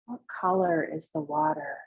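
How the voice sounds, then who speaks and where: A woman leading a guided visualization as a meditation guide, speaking in short, unhurried instructional phrases with pauses between them, in a slightly husky voice. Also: deep voice, fairly even intonation, darkish tone. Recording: muffled, roomy, echoey sound.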